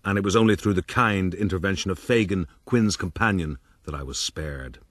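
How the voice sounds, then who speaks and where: A deep, husky gentleman storyteller. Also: normal speed, no room sound. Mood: wry reminiscing. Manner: formal, florid, storytelling. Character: older gentleman narrator looking back wryly on his youth. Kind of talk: first-person period radio drama narration.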